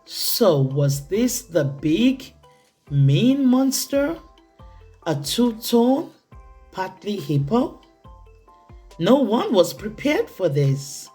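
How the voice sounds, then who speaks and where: A woman reading a children's picture book aloud in an expressive, theatrical way, with deliberate phrasing for young listeners. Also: medium pitch, soft background music. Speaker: children's storytime reader.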